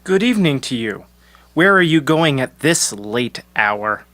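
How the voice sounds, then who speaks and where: Actor as a knowing, kindly old woodcutter. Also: male voice, mid-range voice, very animated, a little slow, pushed and bright, no echo. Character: helpful, knowing old woodcutter with a long beard. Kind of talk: audio storybook character acting.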